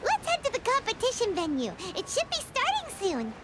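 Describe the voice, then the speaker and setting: A woman voicing a tiny, childlike, excitable fairy-like companion in an anime video game, squeaky and exclamatory. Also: brisk, very noisy, exaggerated voice. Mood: excited, cheering. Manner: refers to herself in third person, exclamatory.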